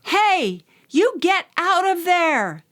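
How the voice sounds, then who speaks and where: A woman's voice: extremely high pitch, sing-song, very slow, a bit bright, pitched above the performer's own voice, exaggerated voice. A woman voicing an angry, scolding restaurant worker in an audio short story. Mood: angry shouting. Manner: scolding, threatening. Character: angry female restaurant worker shooing off kids.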